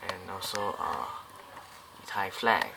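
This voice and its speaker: A man's voice: low-pitched, takes it slowly, vocal fry, noisy background. A man casually reviewing a scale model airliner up close, talking in a loose, rambling way with many fillers and restarts.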